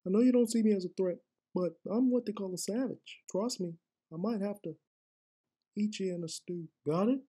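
A small, mock-tough pet animal, voiced by a man in a comic video, grumbling in slang. The tone is dark and mellow. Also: sits high, normal speed, husky. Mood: mock menacing.

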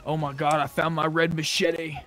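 A man's voice: on the high side, flat delivery, moderate pace, bright, forceful tone. A man chatting casually as he plays a zombie survival roleplay game. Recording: clearly noisy game audio.

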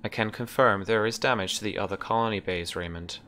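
A man voicing an impersonal, unhelpful ship's computer in a tabletop game, with measured, formal, halting delivery. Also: lowish voice, sharp tone, a little hiss or hum. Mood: impersonal, withholding. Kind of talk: tabletop game role-play.

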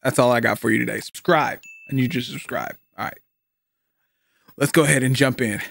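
A young American man talking casually and excitedly in slang as a video host, close to a studio mic at home. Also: mid-range voice, brisk, creaky, no echo. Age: young adult. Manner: hyped, slangy, exclamatory. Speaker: YouTube host reacting to a game trailer. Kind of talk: casual excited reaction commentary. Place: small home streaming room. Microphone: studio mic on boom arm, close.

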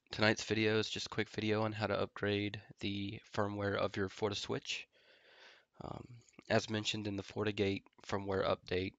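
A stuffy, nasal man with a cold, talking in a low, gravelly voice that stays fairly flat. He walks through a networking tutorial in a relaxed way, full of ums and small pauses. The sound is dry and a bit dull, like a desktop mic in a home office. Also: no echo. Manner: relaxed, filler-heavy explaining while typing commands. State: congested from a head cold. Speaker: network engineer tutorial host. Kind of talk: casual screen-recorded tech tutorial.

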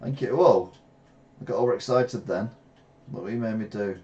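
A middle-aged English man streaming a retro racing game, reacting excitably and trash-talking rivals from a gaming chair at home. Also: on the low side, normal speed, muffled recording. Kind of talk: live gameplay commentary. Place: small room at home, seated in gaming chair.

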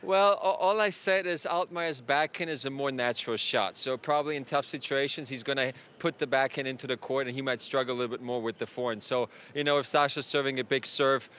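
A man's voice: mid-range voice, a little fast, hardly any pauses, fairly bright tone, clear, resonant tone. A man in his thirties, a former player, gives casual tennis analysis outdoors at night into a handheld mic, hesitating with fillers and restarts. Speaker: former player as remote guest. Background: faint crowd and street ambience.

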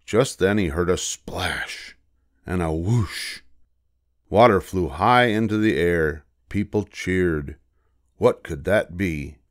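An older man reading a children's storybook aloud as narrator, in a measured, expressive way. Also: low voice, no echo, studio quality.

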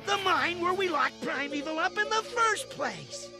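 A man's voice: very high-pitched, lively intonation, unhurried, vocal fry, heavily acted. A man voicing a good-hearted, naive young ghostbuster sidekick in a cartoon, simple in manner. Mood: realizing. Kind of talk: cartoon comic sidekick acting. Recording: very loud music underneath.

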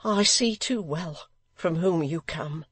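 A man's voice: on the high side, slow, a bit bright, breathy edge, no room sound, voice pushed up, exaggerated voice. A man voicing a frightened, destitute widow in a storybook, pleading in an anguished manner. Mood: fearful despair.

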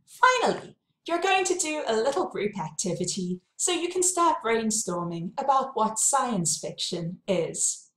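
A woman in her thirties with a South African accent, a university lecturer giving a clear, friendly welcome to her course from a home study, recorded on a webcam. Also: a little high, very animated, unhurried, fairly bright tone, reverberant, poor audio. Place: home study with bookshelves, indoors.

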